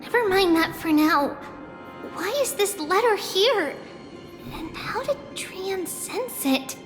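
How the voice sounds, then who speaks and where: A woman's voice: extremely high pitch, animated, slow pace, heavily acted. A woman voicing a playful, curious little girl with a small childlike voice in a video game. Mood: puzzled, pensive. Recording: long reverb, loud music behind.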